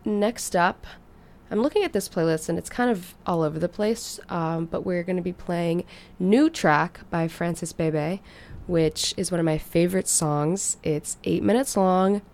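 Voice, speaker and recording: A woman hosting a music show, talking between songs in a laid-back, chatty way with fillers and trailing asides, and speaking quietly. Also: fairly low pitch, unhurried. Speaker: radio DJ. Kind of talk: casual music show chat.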